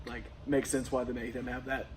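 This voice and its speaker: Man with mid-pitched voice, casual movie chat. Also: little pitch movement, fairly quick, a little hiss or hum. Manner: informal, agreeable, acts out quoted lines. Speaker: co-host of a movie review. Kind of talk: casual fan chat about a film.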